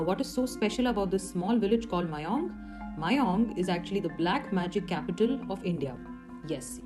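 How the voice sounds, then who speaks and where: A woman telling eerie stories in a semi-scripted, explanatory way, with rhetorical questions and dramatic emphasis. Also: fairly low pitch. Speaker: storyteller. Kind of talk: semi-scripted horror storytelling. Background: music under the voice.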